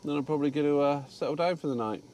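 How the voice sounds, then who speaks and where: A man's voice: fairly high pitch, racing, darkish tone, slight background noise. A man chatting casually about his day while parked in his truck cab, rambling with fillers and restarts. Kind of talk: casual vlog chat.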